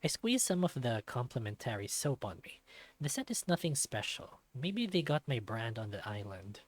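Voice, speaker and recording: A man's voice: medium pitch, big pitch swings, moderate pace, dry recording. A man voicing a self-deprecating young male otter, narrating a visual novel in the first person with wry self-mockery. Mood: wry, subdued.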